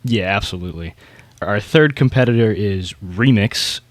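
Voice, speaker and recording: A man's voice: on the low side, moderate pace, no echo. A man co-hosting a podcast in casual conversation, talking with informal, slangy enthusiasm. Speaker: podcast co-host. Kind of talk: casual podcast discussion of beatboxing competitors.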